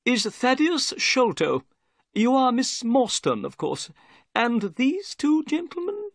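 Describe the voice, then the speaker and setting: High, excitable, nervous little man. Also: slow pace, creaky, no echo, clean studio sound, exaggerated voice. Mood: nervous, excited. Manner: exclamatory, high-strung, dramatic storytelling. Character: nervous, excitable little bald middle-aged man, fretful and eager.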